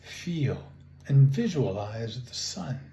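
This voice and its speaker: Slow-spoken man guiding meditation, roomy echo. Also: medium pitch. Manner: calm, soothing, measured with long pauses. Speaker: guided imagery and relaxation instructor. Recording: roomy echo, faint background noise.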